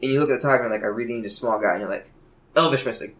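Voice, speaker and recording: A man's voice: mid-range voice, very fast, a bit bright. A man co-hosting a casual hobby podcast, talking in an enthusiastic, earnest way. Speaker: podcast co-host.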